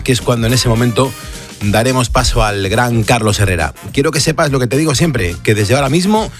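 Castilian Spanish man hosting late-night radio. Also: medium pitch. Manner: conversational, addresses the listener directly. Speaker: radio host. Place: radio studio.

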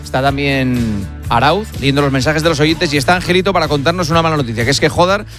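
A man hosting a late-night radio sports show, talking conversationally and pausing on fillers. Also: a little high, fairly bright tone, faint music behind. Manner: conversational, hesitant fillers between points. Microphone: studio microphone.

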